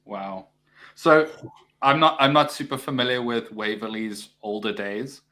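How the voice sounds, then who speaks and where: A man's voice: medium pitch, a little slow, pushed and bright. A man hosting a casual live-stream chat, laughing often and stumbling over repeated words. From South Africa. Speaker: podcast host.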